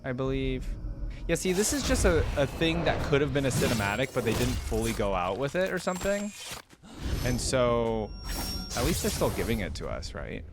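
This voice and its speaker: A man's voice: medium pitch, very animated, normal speed, very noisy. A man giving casual, slangy reaction commentary as the host of a video. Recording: loud music behind the voice. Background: game trailer and theme music.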